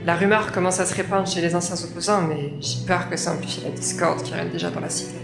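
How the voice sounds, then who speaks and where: A woman playing a wary, protective older mother in an audio drama, grave and halting in a measured way. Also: lowish voice, steady tone, vocal fry. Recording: echoey room, loud music underneath.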